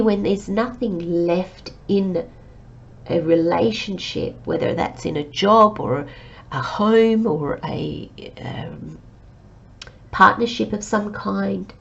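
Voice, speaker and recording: A woman giving a tarot reading to her listeners, talking in a slow, reflective and gently encouraging way while she has a cold. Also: medium pitch, gravelly, highs cut off. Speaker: tarot reader.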